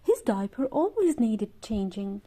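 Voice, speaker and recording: A woman reading a children's story aloud in an expressive, deliberate way for young listeners. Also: on the high side. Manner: animated character voices, deliberate phrasing.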